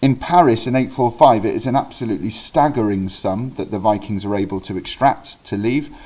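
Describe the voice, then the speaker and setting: A relaxed man with a low, mellow voice, talking through a history lesson the way a teacher does at his desk. He keeps pausing on ums, breaks off to fix a date or a word, then carries on in a friendly, chatty tone. The delivery is unscripted and easygoing. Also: low-quality recording. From Wales. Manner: chatty, self-correcting, with frequent ums and restarts. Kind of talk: informal recorded history lesson.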